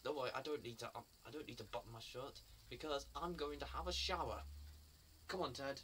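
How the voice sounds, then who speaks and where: An actor playing a silly, excitable, sheepish bungler in a comic monologue, chattering in a flustered way. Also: male voice, medium pitch, brisk, natural voice. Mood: relieved, hurried. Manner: flustered, chatting to himself and his pets. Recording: distant mic, faint background noise.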